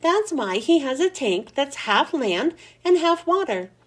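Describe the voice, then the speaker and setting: A woman voicing a kind but firm female schoolteacher who speaks in a gently instructive way in a storybook reading. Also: high-pitched, big pitch swings, measured pace. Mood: explaining.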